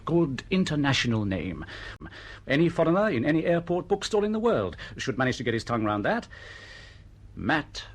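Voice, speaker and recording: An actor playing a pompous, persuasive literary agent in a radio drama, smooth-talking and emphatic. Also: male voice, on the high side, sing-song, normal speed. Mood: self-satisfied. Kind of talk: BBC radio drama acting.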